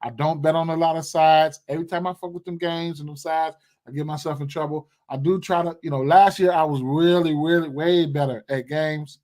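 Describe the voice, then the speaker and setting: Young male streamer, slightly raised pitch, loose slangy chat with many fillers, talking to a desk mic indoors. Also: fairly even intonation, brisk, a little mellow. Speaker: sports betting livestream host. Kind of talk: casual livestream commentary, reading chat. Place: indoor room.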